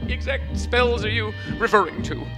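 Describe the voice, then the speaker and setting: An actor playing a flustered, bumbling wizard with a stammering, rambling delivery in comic audio theatre. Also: male voice, very high-pitched, normal speed, loud music behind the voice. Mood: nervous, evasive. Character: flustered, dance-crazed wizard, male, bumbling and pleading. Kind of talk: comic audio theatre before a live audience. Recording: live stage recording in reverberant hall.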